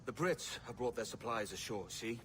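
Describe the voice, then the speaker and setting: A man's voice: mid-range voice, normal speed, background noise, lightly acted. A man as a brash young pirate captain. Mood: confident. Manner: brash, confident. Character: cocky young pirate captain, bold schemer.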